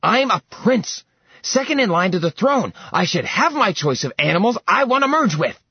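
A man's voice: sing-song, moderate pace, breathy edge, no room sound, muffled recording, exaggerated voice. Man doing a whiny spoiled young prince. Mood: whining. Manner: petulant, shrill whining.

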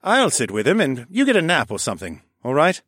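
A man's voice: on the high side, wildly swooping pitch, fairly quick, fairly bright tone, husky, no room sound, studio quality, pitched above the performer's own voice, exaggerated voice. A man doing a dorky, jovial old alchemist. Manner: jovial and reassuring. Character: dorky, kindly older male alchemist.

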